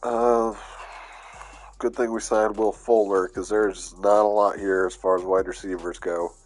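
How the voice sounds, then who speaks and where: Gaming channel host, male, low-ish voice, stuffy and under the weather, relaxed think-aloud commentary with faint music underneath. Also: a little slow. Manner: laid-back, thinking aloud with fillers. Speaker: gaming YouTuber. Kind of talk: casual gameplay commentary.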